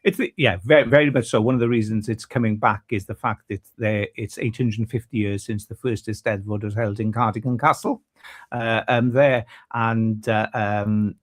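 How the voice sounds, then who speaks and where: A man, speaking as a guest on a breakfast radio show. His voice sits a little low, and he talks haltingly, with many fillers and restarts. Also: steady tone. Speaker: festival committee vice chair. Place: radio studio.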